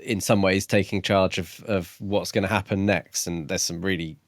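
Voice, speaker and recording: A man co-hosting a podcast, discussing a book casually in measured, tentative phrases. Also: sits low, fairly even intonation, no echo, studio quality. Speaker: podcast co-host.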